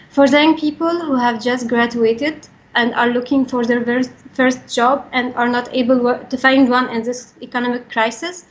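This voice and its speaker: Young female speaker, Egyptian accent, higher register, reading a statement carefully and earnestly, slightly dull sound. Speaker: regional coordinator for a young workers' organisation. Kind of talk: prepared talk, reading statement. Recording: dull, band-limited recording.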